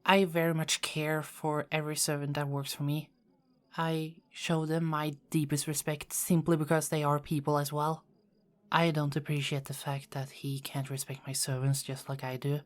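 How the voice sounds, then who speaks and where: A woman playing a caring, dutiful young queen in an ASMR roleplay, speaking formally and softly with small hesitant stammers. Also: low voice. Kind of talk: ASMR roleplay, scripted character acting.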